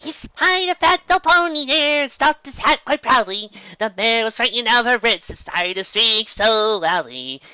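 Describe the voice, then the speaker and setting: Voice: medium pitch, expressive, normal speed, few pauses, bright, forceful tone, clean tone, no room sound, lo-fi sound, voice pushed up. A performer voicing an exuberant female cartoon pony who tells a mock-heroic ballad in a dramatic, bouncy, over-emphatic way. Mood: playful, dramatic. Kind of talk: theatrical sung storytelling.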